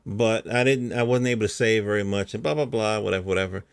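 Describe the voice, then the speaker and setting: A middle-aged American man speaks in a low-pitched voice with very little room sound around it. He talks like a casual podcast host, rambling along in colloquial language. He often checks that the listener is following him. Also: quick pace, creaky, clear, resonant tone, dry recording, studio quality. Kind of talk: casual conversational monologue.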